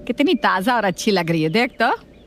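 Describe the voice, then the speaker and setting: A woman playing a doting, gullible grandmother with a homely, indulgent manner in an animated family story. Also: mid-range voice, moderate pace, soft background music, close to an everyday voice. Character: kindly, gullible, doting grandmother and mother-in-law.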